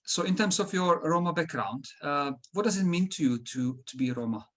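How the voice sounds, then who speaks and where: A man's voice: on the high side, animated, a little fast, fairly bright tone. Man, interviewer, polite, hesitant, filler-heavy questions.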